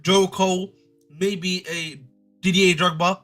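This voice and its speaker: A man's voice: high-pitched, little pitch movement, slow, fairly bright tone, soft background music. A man, co-hosting a casual football chat and rambling in slangy, repeated phrases. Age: 20s. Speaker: podcast co-host.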